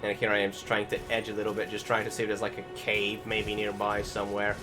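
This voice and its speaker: Male game commentator, lower register, casual and meandering delivery with hesitations, clear music bed underneath. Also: completely flat delivery, fast talker. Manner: rambling, hesitant, swears casually. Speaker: gaming video commentator. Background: game music behind the voice.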